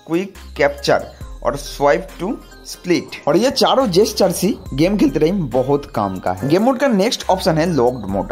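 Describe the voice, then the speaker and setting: A man narrating a scripted tutorial as a gaming channel host, explaining step by step and addressing viewers directly. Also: a little high.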